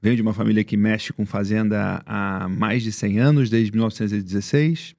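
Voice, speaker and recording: A man's voice: lowish voice, steady tone, a little fast, creaky, darkish tone, dry recording, clean studio sound. Man with a light carioca accent. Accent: Rio de Janeiro Portuguese. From Brazil. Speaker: podcast guest. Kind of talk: casual interview chat.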